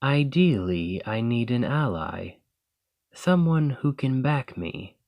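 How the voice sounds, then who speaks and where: A man voicing a proud male lamia noble in an ASMR roleplay, speaking in a formal, measured and wry way. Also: medium pitch, sing-song, lo-fi sound. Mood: thoughtful.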